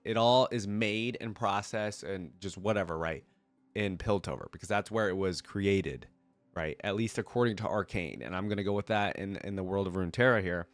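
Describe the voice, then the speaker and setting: A man's voice: lowish voice, no echo. A man with a congested, cold-affected voice, reading a story aloud and breaking off into rambling, casual commentary as a reaction video host. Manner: rambling, thinking aloud in asides.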